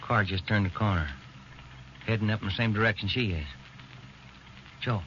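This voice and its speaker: An actor playing a plain-spoken, folksy police detective in a 1940s radio drama. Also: male voice, on the low side, moderate pace, a bit bright, natural voice. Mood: tense, urgent. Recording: dull, noisy old radio recording.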